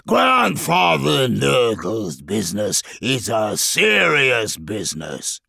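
A man voicing a huge, gloomy, irritable demon lord in a fantasy audiobook, with a gravelly, booming, grumbling delivery. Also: huge pitch swings, slow, pushed and bright, no echo, clean studio sound, exaggerated voice. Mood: gloomy, irritated. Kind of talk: dramatic fantasy audiobook character voice.

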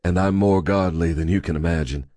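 A man's voice: sits low, steady tone, a little fast, dark tone, no room sound, natural voice. A man voicing a cool, cynical male drifter in a dry, conversational manner.

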